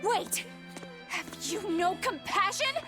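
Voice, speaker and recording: A woman's voice: extremely high pitch, expressive, slow pace, heavily acted. An actress playing a distraught, compassionate young woman in a video game, pleading emphatically. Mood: desperate. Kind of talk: dramatic video game voice acting. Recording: music behind the voice.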